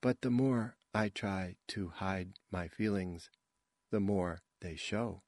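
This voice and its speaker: A man plays a reluctant young actress in an audiobook. She recites love lines slowly and quietly, with a flat, wooden delivery and a dry, close sound. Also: low voice, no echo. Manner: slow, soft, wooden delivery.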